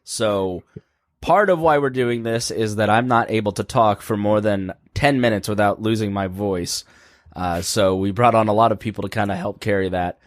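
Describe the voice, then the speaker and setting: A man hosting a live-stream podcast, hoarse from laryngitis, rambling casually with plenty of fillers. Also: fairly low pitch, normal speed, dry recording, studio quality. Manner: rambling, jokey, many fillers. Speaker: podcast host.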